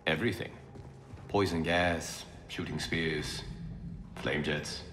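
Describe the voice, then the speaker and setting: An actor playing a solemn, resolute Shaolin warrior monk in a video game, speaking in a measured, formal way. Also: male voice, extremely low pitch, fairly even intonation, slight background noise, exaggerated voice. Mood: wary, instructive. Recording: echoey, under loud music.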